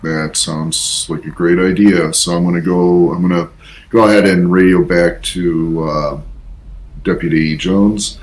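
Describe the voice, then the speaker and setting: A man playing a tabletop role-playing game, speaking casually in a measured, deliberate way. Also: low-pitched, fairly even intonation. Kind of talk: casual in-character tabletop play.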